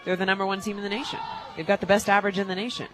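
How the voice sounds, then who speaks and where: A woman giving conversational, candid color commentary on a softball radio broadcast, chatty and happy to offer her opinion. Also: medium pitch, flat delivery, racing, music in the background, poor audio. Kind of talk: conversational sports analysis. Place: stadium radio booth.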